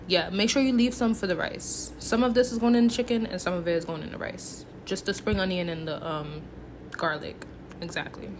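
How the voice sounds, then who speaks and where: Chatty woman over clear background noise. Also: on the low side, fairly quick. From Haitian background. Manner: chatty, playful, informal, talks to viewers as friends. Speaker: home cook, recipe vlogger. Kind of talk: casual cooking tutorial voice-over.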